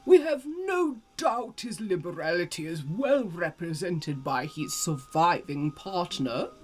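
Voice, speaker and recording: Woman playing polite charity gentleman, storybook character, formal, earnest, slow-paced, expressive intonation, music underneath. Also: fairly low pitch. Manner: formal, courteous. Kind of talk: storybook reading.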